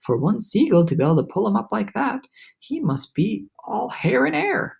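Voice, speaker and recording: A woman's voice: mid-range voice, big pitch swings, normal speed, gravelly, darkish tone, breathy edge, pitched above the performer's own voice, exaggerated voice. A woman voicing a young boy hero in a storybook, calling out eagerly and urgently. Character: small brave boy hero.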